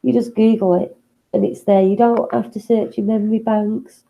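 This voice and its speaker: A woman co-hosting a casual talk show, sniffly and congested from a cold, speaking thoughtfully with pauses and fillers. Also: mid-range voice, flat delivery, vocal fry, highs cut off. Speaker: co-host.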